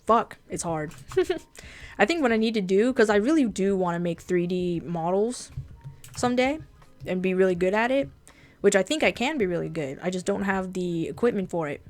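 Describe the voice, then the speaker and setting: A woman whose first language is Japanese, with a fairly deep voice, chatting casually and bluntly on a drawing livestream, swearing freely. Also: lively intonation, moderate pace, faint music behind. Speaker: streamer and artist.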